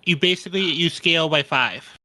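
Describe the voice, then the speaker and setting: A man's voice: fairly high pitch, brisk, pushed and bright, a little hiss or hum, low-quality recording. A man playing a tabletop roleplaying game, talking casually and hesitantly as he thinks aloud.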